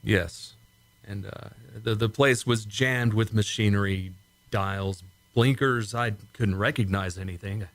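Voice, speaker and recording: A man acting in an old-time radio drama as a shaken, insistent rocket test pilot, speaking urgently and haltingly. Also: lowish voice, fairly bright tone, dry recording. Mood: uneasy, defensive.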